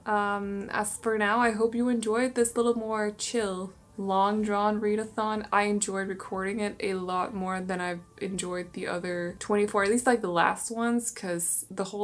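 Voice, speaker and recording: A young woman from Denmark, Danish by birth, chatting casually to camera as a book vlogger, tired and rambling with frequent laughs. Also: medium pitch. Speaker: booktuber.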